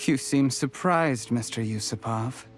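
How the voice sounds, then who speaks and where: An actor voicing an ambitious, bitter official in a video game, speaking in a deliberate, articulate, calculating way. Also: male voice, medium pitch, music in the background, close to an everyday voice. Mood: bitter, defiant. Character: bitter, ambitious, coldly calculating male official turned poisoner.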